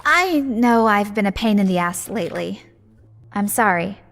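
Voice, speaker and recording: A woman's voice: medium pitch, expressive, normal speed, a bit bright. A woman acting a sly, flippant shop owner and secret agent in a naturalistic audio drama. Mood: breezy, apologetic. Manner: flippant, sardonic, breezy.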